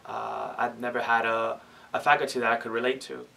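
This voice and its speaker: A young adult man, a college student, giving his opinion in an interview with formal, deliberate wording. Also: mid-range voice, level tone, gravelly, pushed and bright. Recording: distant mic, faint room noise.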